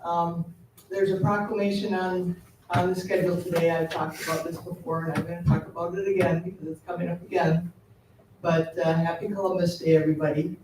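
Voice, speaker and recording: A plain-spoken man makes a hesitant public comment, with many uhs, in a flat, mellow voice. He sounds distant in a very echoey council chamber with faint noise. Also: fairly high pitch, brisk.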